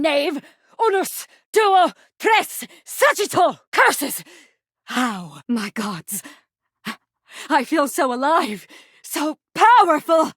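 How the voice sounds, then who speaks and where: A woman voicing a proud, fervent warrior woman in a video game, theatrical and declamatory, in archaic high-flown speech. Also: no echo, clean studio sound. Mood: fierce, exultant. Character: proud, fervent female warrior, grandiose and lovestruck. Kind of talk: theatrical game character acting in archaic English.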